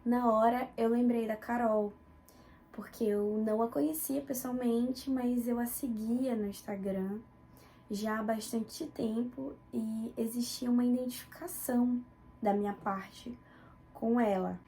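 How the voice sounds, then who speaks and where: Young female student presenter, northern Brazilian accent from Belém. Soft-toned, fairly high voice, recounting her own academic work, with noise behind her. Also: steady tone, unhurried. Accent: northern Brazilian Portuguese, Belém. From Belém, Pará, northern Brazil. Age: young adult. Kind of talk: academic presentation, personal account.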